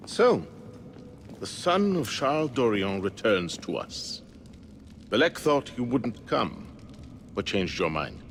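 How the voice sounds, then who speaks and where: An actor playing a solemn, commanding elder of a secret assassin brotherhood in a video game, speaking in a grave, ceremonial way. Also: male voice, fairly low pitch, big pitch swings, takes it slowly, long pauses, slight background noise, exaggerated voice. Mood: probing. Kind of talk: dramatic video game cutscene acting. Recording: loud music behind the voice.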